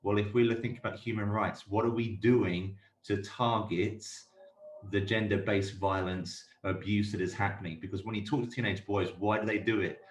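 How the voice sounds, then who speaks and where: Low-voiced man, earnest panel talk, echoey room far from the mic, faint background noise. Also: steady tone, moderate pace. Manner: earnest, with frequent fillers. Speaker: panelist from a sport-based violence prevention group. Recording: roomy, distant video call audio.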